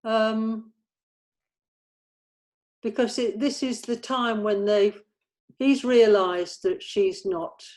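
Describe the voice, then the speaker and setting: A woman who writes scripts, talking informally and tentatively, halting and repeating words as she explains her thoughts. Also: on the high side, fairly even intonation, a little mellow. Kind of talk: informal creative discussion.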